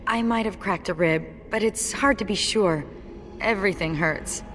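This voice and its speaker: A woman's voice: medium pitch, normal speed, a bit bright, a little hiss or hum, lightly acted. An actress playing a brave, wry dwarf warrior woman in a video game, speaking in an understated, matter-of-fact way. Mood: relieved, pained. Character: brave wry female dwarf warrior, sole survivor of her legion.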